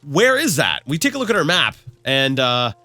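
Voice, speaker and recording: A man's voice: on the high side, big pitch swings, normal speed, pushed and bright, no room sound, faint music behind. Nasal man rambling through game commentary. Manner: rambling, self-correcting, thinks aloud. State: recovering from a cold, slightly cracky voice. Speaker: let's play host. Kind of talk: casual gameplay commentary.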